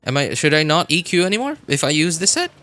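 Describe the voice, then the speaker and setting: A man's voice: a little high, very animated, quick pace, bright, forceful tone, no room sound. A man game livestreamer chatting casually, thinking aloud in a hesitant way and often repeating himself. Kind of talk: casual livestream chat while theorycrafting a game.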